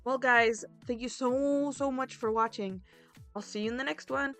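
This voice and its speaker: A woman's voice: high-pitched, fairly even intonation, moderate pace, a bit bright. A woman hosting a video in a casual, informal and chatty way, talking directly to her viewers with announcements. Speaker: roleplay series host and video creator. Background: background music.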